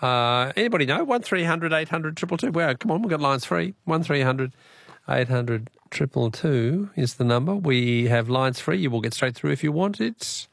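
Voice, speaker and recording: A man's voice: on the high side, animated, moderate pace, gravelly, a bit bright, dry recording. An Australian man hosting a live phone-in radio quiz, chatting with callers in a jokey, bantering way.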